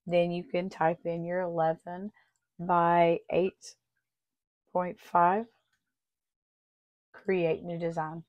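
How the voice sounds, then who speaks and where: Slightly raspy, low-pitched woman tutorial host. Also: darkish tone, highs cut off. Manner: friendly, chatty, step-by-step explaining. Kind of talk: casual tutorial walkthrough.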